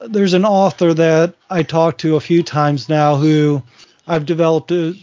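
A man's voice: fairly high pitch, steady tone, unhurried, dark tone, highs cut off. A man in his forties co-hosting a podcast, chatting casually and rambling with frequent restarts. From Virginia. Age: 40s. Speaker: podcast co-host. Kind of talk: casual chat.

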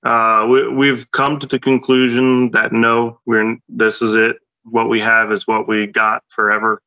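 A man's voice: medium pitch, flat delivery, a little slow, bright, forceful tone, dry recording, muffled recording. A man in his late thirties co-hosting a podcast, speaking casually and hesitantly with many fillers. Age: late 30s. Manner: hesitant, many ums and restarts. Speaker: podcast co-host. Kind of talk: casual chat.

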